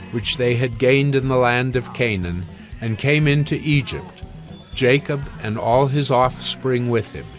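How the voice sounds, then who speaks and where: A man with a medium-pitched voice narrates scripture. He reads in a measured, formal manner with light music underneath. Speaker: Bible narrator. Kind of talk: dramatized scripture reading.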